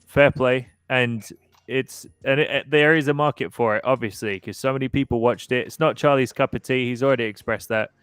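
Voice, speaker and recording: Easygoing man in his thirties, smooth voice. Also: medium pitch, fairly even intonation, fairly quick, a bit bright, no echo. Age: 30s. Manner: easygoing, laughs often, reads viewer comments aloud. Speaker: podcast host. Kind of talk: casual livestream chat.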